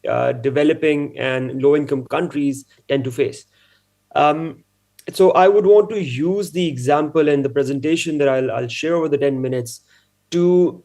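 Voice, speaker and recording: A man's voice: fairly high pitch, darkish tone. Man from Pakistan, panel speaker on a video call, earnest, restarts phrases. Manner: earnest, repeats words as he builds points. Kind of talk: conference talk via video call.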